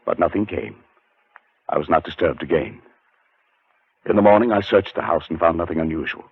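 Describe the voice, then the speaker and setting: Deep-voiced haunted man, old radio. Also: breathy edge. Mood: haunted, dread. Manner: dramatic, deliberate, halting when anxious. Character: haunted, frightened male scholar recounting his terror. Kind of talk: 1940s radio acting, first-person suspense narration. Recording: muffled 1940s radio broadcast with music.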